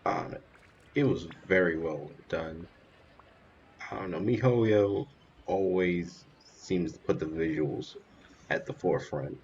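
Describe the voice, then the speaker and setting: A man giving casual, offhand gameplay commentary as a streamer in a low-key way. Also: takes it slowly, darkish tone, slight background noise. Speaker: game streamer. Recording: dull lo-fi voice track, faint music.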